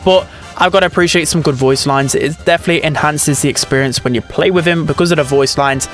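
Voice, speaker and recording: A man hosts a gaming ranking video in a fairly high voice. He is chatty and opinionated, talking casually, with music playing underneath. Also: quick pace, a bit bright.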